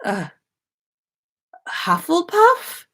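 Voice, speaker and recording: A woman voicing a proud, sardonic husband and father in a story reading, with a drawn-out, mock-indignant delivery. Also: extremely high pitch, huge pitch swings, sharp tone, pitched above the performer's own voice, exaggerated voice. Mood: disbelieving.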